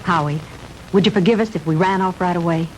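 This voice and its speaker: A woman's voice: on the low side, fast, a little mellow, natural voice. An actress playing a kind, composed young woman in a 1960s B-movie, polite and earnest. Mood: gentle concern. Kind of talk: 1960s B-movie film acting. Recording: noisy old 1960s film soundtrack.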